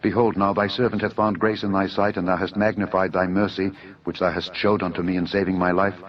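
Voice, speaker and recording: Pleading city householder, man reading the role, deep, earnest, deferential, muffled scripture audio drama. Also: level tone, a little fast, lightly acted. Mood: anxious pleading. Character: anxious, hospitable, pleading male householder of ancient city. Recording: dull narrow audio over music.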